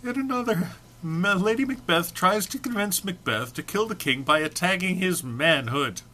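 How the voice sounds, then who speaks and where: A man's voice: high-pitched, lively intonation, moderate pace, pushed and bright. A man giving an amateur, theatrical dramatic reading of a humorous text, prone to amusement and stumbles. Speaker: amateur reader.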